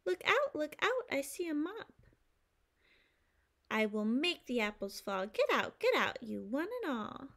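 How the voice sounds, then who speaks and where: A woman in her thirties reading aloud to young children as a preschool teacher, phrasing simply and clearly. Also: high-pitched, sing-song, unhurried, fairly bright tone. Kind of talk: children's storytime read-aloud.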